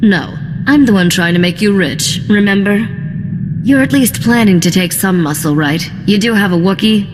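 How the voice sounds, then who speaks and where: A woman's voice: mid-range voice, moderate pace, close to an everyday voice. A woman playing a wary, pragmatic starship crew partner in a video game, with a dry, sardonic manner. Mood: wary, nagging. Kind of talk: video game cutscene acting. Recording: long hall reverb, faint background noise.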